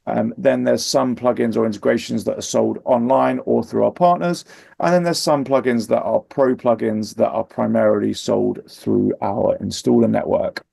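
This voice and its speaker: A man's voice: mid-range voice, fairly even intonation, normal speed, vocal fry, warm tone, husky, no room sound, studio quality. A man giving an informal product presentation in a matter-of-fact, explanatory way.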